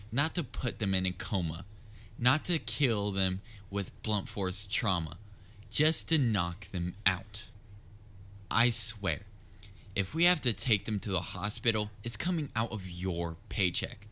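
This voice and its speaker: A man's voice: lowish voice, bright, forceful tone, exaggerated voice. A man playing a theatrical, pompous supervillain with dry sarcasm in a comedic audio roleplay. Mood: exasperated, scolding. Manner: pompous, gloating, dryly sarcastic. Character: theatrical male supervillain, pompous yet secretly concerned nemesis. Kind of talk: scripted audio roleplay, comedic villain monologue.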